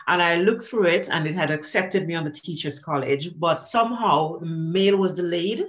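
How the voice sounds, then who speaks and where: A middle-aged Jamaican woman telling the story of her life as a guest on a podcast. She speaks with an easy, animated rhythm and laughs often as she remembers. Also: fairly low pitch, moderate pace, lo-fi sound. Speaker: guest, teacher. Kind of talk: conversational storytelling.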